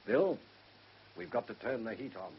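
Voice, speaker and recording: A man acting a gruff, exasperated senior police superintendent in a British radio drama, brusque and sardonic. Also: medium pitch, lively intonation, normal speed, a little hiss or hum, close to an everyday voice. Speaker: actor in a radio play. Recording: slightly muffled radio drama recording.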